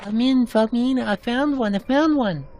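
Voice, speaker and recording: A man voicing an excited, proud little girl in a video game story. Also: very high-pitched, moderate pace, slight background noise, pitched above the performer's own voice. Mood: eager, proud. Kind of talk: narrated game cutscene character.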